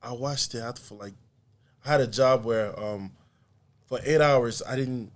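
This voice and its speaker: Brief: young adult man, podcast guest, mid-pitched, loose rambling delivery, quick laughs. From USA. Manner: relaxed, rambling, laughs often. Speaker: podcast guest, artist and comedian. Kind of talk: casual conversation.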